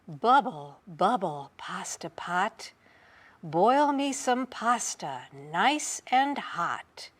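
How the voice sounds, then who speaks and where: A woman's voice: a little high, a bit bright, heavily acted. A woman voicing an old storybook village witch, kindly but bossy, chanting and giving firm instructions in a singsong way. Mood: singsong, content.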